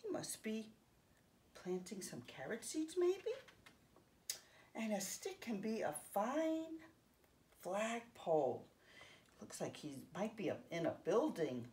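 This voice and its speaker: A woman in her fifties reading a picture book aloud to young children, expressively, pausing to explain things simply. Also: medium pitch, big pitch swings, a little mellow. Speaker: children's story time host. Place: home living room, indoors.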